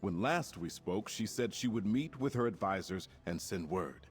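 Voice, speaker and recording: Actor as a low-voiced, formal war commander. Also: male voice, animated, faint music behind, natural voice. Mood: grave, determined. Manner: measured, formal, stately. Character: formal, resolute male commander of a war alliance. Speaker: quest-giver in a fantasy video game. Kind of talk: scripted game dialogue.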